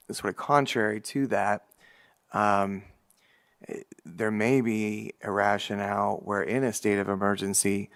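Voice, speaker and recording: Man, low voice, gravelly, flat delivery, city meeting presentation, lots of uh and um. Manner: measured, with many fillers. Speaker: city official presenting to a commission.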